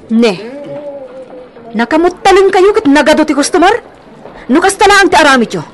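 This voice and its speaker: A woman playing a bossy, quick-tempered grocery owner, scolding and nagging with an Ilocano accent in a radio drama. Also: high voice, animated. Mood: stern scolding.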